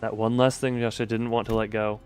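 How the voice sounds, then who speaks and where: Low-voiced man streaming a story game. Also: level tone, brisk, a little mellow. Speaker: livestreamer. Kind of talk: live game stream, reading dialogue aloud with casual commentary. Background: game music.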